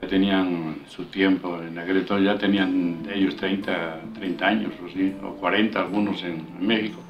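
An older man from León, Spain, speaks in a low voice, pausing and repeating words as he reaches for memories. He sits back from the microphone in a living room, so the sound is roomy and dull. Soft music plays under him. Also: steady tone, distant mic. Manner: hesitant, repeats words while recalling. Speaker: interviewee, emigrant. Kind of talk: documentary interview.

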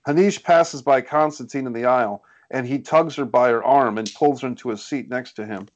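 Man, medium pitch, scripted narration, screenplay stage directions, table read. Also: a little fast, dull audio. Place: indoors on a group video call.

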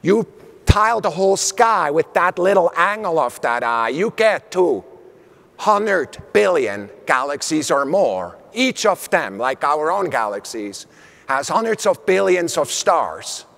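Brief: middle-aged Swiss man, animated stage keynote, gravelly slightly high voice, long reverb of a big hall. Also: a little slow. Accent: Swiss German. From Switzerland. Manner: animated, gesturing while speaking on stage. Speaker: keynote speaker. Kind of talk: conference keynote talk. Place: large conference hall stage. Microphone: lapel mic.

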